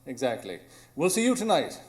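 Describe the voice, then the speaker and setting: A man's voice: mid-range voice, wildly swooping pitch, fairly quick. A man playing a brilliant, coolly analytical detective with a formal, precise manner in a live radio drama. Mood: urgent, assured. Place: small improv theatre stage.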